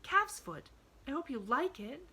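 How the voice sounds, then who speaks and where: A woman's voice: sits high, big pitch swings, normal speed, sharp tone, pitched above the performer's own voice, full character voice. A woman voicing an eager, talkative, glad little girl from a storybook, rambling earnestly with a rising inflection.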